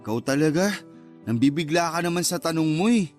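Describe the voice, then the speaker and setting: A man's voice: a little high, expressive, brisk, a little mellow, soft background music, natural voice. An actor playing a hesitant but candid young man in a radio drama, casual and mildly defensive as he talks. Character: hesitant, candid younger man who courts older women. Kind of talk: conversational radio drama acting.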